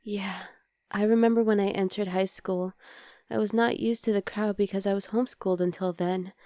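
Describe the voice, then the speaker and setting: A woman playing a young demon girlfriend in an ASMR roleplay, sweet but exasperated and rebellious, speaking intimately and softly to the listener as her partner. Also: medium pitch, steady tone, normal speed, no echo, clean studio sound, lightly acted. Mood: wistful, confiding. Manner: intimate, soft, often dropping to a whisper.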